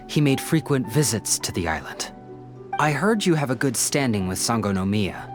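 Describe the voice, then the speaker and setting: An actor playing an earnest, polite young male detective's assistant in a video game, with an expressive, pleading manner that is easily exasperated. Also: lowish voice, lightly acted. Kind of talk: English video game voice acting. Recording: background music under the dialogue.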